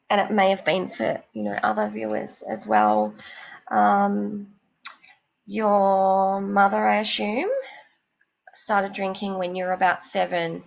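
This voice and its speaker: A woman with a cold and a snuffly nose, giving psychic card readings on a live stream in a slow, rambling, reassuring way. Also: medium pitch, vocal fry, dull audio.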